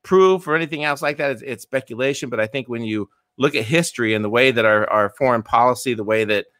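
A man's voice: medium pitch, quick pace, fairly bright tone, no room sound, clean studio sound. A man in his fifties, co-hosting a casual political podcast chat, often repeating words as he argues his point. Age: 50s. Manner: repeats words, speculative and emphatic. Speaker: podcast co-host.

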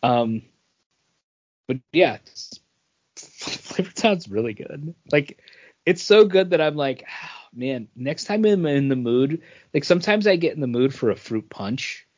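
A man's voice: a little high, animated, measured pace, a little mellow, no echo. Rambling man in his forties, dull dry audio. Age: 40s. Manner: rambling, repeats phrases. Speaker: podcast co-host. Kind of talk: casual chat, beer review.

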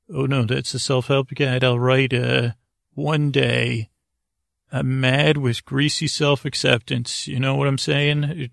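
Creaky-voiced man, rambling and halting. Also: mid-range voice, fairly bright tone, no room sound, studio quality. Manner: meandering, halting, self-interrupting, with tangents and repeated words. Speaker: podcast host. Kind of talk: rambling bedtime monologue to help listeners sleep.

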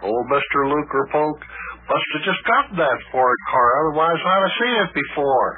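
A man's voice: on the high side, expressive, normal speed, narrow, tinny audio, lightly acted. A man acting a grumbling, self-pitying cab driver turned private eye in an old-time radio comedy, lamenting in a melodramatic way. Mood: matter-of-fact. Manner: woeful, melodramatic, repetitive lamenting. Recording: thin, noisy old radio recording.

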